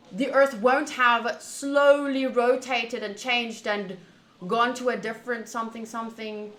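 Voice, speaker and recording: A woman streamer chatting casually to viewers during a game playthrough, in an animated, opinionated and wandering way, often rephrasing mid-thought. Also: a little high. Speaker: streamer playing a visual novel. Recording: echoey room, faint background noise.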